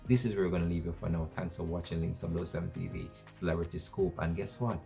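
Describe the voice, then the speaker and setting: A man hosting an entertainment news show, wrapping up the story in a steady, repetitive presenter delivery. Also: low voice, fast, gravelly, music in the background. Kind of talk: scripted news wrap-up.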